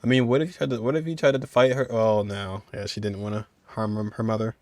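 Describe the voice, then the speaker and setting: A man's voice: fairly low pitch, fairly even intonation, quick pace, a little mellow. A man streaming a game, giving informal commentary and thinking aloud in a casual way.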